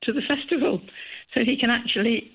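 A woman's voice: on the high side, brisk, husky. An older woman calling in to a radio show, cheeky and giggly in casual chat. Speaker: caller. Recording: tinny phone line.

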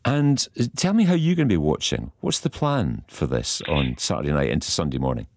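A man's voice: a bass voice, sing-song, brisk, gravelly, a little mellow, dry recording, clean studio sound. A man hosting a radio phone-in, chatty and teasing, laughing easily with callers. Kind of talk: casual radio chat.

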